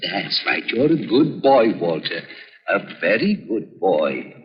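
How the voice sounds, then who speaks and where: A man's voice: low-pitched, very animated, takes it slowly, exaggerated voice. An actor playing a paranoid, sinister older male scientist in a 1930s radio drama, muttering and coaxing theatrically with false kindness. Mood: sly, falsely kindly. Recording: hissy, muffled 1930s radio recording, reverberant.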